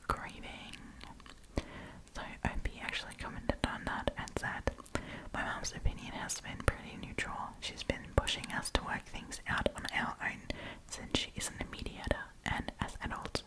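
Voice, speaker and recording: Whispering woman, chatty ASMR storyteller. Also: rough recording. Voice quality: breathy whisper. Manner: chatty, playful, slangy.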